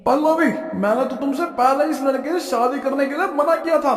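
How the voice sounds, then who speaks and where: A man's voice: extremely high pitch, brisk. A man voicing a principled, wealthy middle-aged father in a cartoon story, speaking earnestly and moralizing. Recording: echoey room with long reverb.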